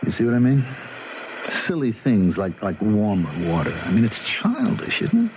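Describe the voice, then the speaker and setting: A man playing an earnest, troubled police officer, ruminating haltingly and repeating himself in a 1970s radio drama. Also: on the low side, huge pitch swings. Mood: earnest, sympathetic. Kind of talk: 1970s radio drama acting, conversational monologue. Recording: muffled, slightly noisy old radio broadcast.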